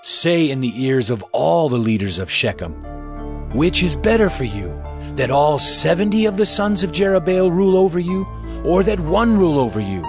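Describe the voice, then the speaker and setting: Ambitious schemer seeking a crown, slightly high voice, lively swooping persuasion, biblical audio drama over music. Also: male voice, normal speed. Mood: urgent persuasion. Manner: persuasive, oratorical. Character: Abimelech, ambitious scheming male claimant to rule. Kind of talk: dramatized scripture audio acting.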